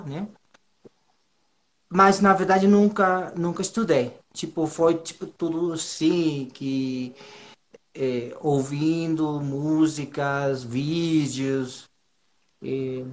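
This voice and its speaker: A man from northern Mexico, a native Spanish speaker with a Mexican accent, talks casually as an interview guest, nervous and hesitant, often repeating himself. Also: a little high. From Monterrey, northern Mexico. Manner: hesitant, halting, with frequent repetitions and slangy tags. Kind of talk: casual informal chat.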